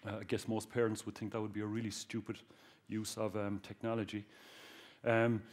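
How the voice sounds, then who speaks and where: A man's voice: lowish voice, little pitch movement, a little fast. Joking man with a Cork accent. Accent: Cork Irish. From Ireland. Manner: joking, addresses the audience directly. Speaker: guest speaker, research engineer. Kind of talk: public talk to students.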